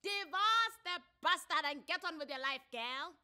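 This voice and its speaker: A woman's voice: very high voice, expressive, unhurried, bright, forceful tone, pitched above the performer's own voice, full character voice. A woman with a South African accent playing a brash, meddling female bystander in a story reading. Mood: sassy, goading. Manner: brash, goading. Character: sassy, meddling female bystander egging on wife.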